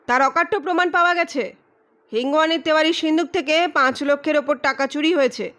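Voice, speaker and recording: Woman acting high-pitched, blustering, menacing male detective. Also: a little fast. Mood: accusing, triumphant. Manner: loud, emphatic, declamatory. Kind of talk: dramatized audiobook reading.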